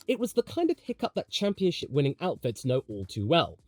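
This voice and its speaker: A man's voice: a little high, huge pitch swings, a little fast, darkish tone, dry recording. A man delivering scripted motorsport commentary in an emphatic, dramatic way, as the host of a video essay.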